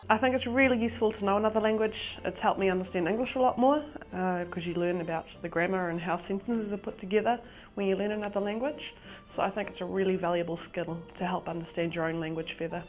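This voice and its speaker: A woman's voice: mid-range voice, steady tone, fast. A woman born in the Netherlands, a native Dutch speaker, explaining earnestly to camera as a teacher.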